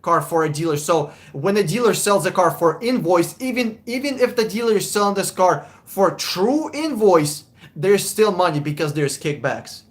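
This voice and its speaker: Young man, slightly high voice; casual, blunt live-stream car advisor. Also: expressive, moderate pace. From Russia. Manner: casual, blunt, swears, chuckles often. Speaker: car buying advisor, livestream host.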